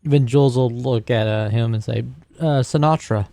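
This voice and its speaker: A man with a mellow, creaky voice plays a tabletop game. He narrates his character casually, moving between description and dialogue, in a dry recording. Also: medium pitch, normal speed, no room sound. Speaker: tabletop roleplaying player.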